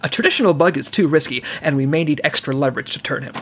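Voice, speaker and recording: A woman playing a brisk section chief. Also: sits low, expressive, fairly bright tone, exaggerated voice. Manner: crisp, businesslike briefing. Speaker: mission briefer.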